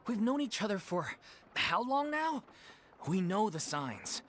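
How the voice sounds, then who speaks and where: A gentle, worried troupe director. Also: male voice, sits high, big pitch swings, a little slow, slight background noise, exaggerated voice. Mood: concerned. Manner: gentle, concerned. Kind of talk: video game voice acting.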